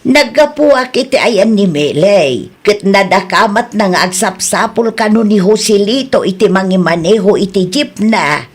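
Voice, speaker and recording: A woman's voice: medium pitch, animated, moderate pace, hardly any pauses, husky. A Filipino woman playing a fretful middle-aged mother-in-law in an Ilocano radio drama, nagging and exclaiming as she worries over her family. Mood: concerned.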